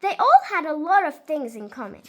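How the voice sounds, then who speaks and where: A woman reading a children's storybook aloud in an expressive, deliberate way for young listeners. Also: sits high.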